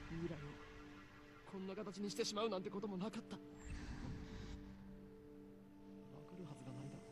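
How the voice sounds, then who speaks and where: Guy acting dramatic young man brooding about fate, visual novel monologue, talks super fast, music clearly behind him. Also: fairly high pitch, close to an everyday voice. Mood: regretful, urgent. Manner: theatrical, portentous. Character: intense young male narrator brooding over fate, grave and dramatic. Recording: game audio with music over it. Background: prominent game music.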